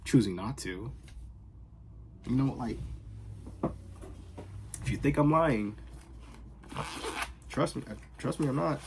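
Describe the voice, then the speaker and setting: A young man from the Boston area, vlogging in casual, sarcastic rambles that swing from loud outbursts to whispers, recorded on his phone in his bedroom. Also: mid-range voice, animated, slow pace, vocal fry, pauses often, noisy background. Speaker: fragrance vlogger. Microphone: phone camera.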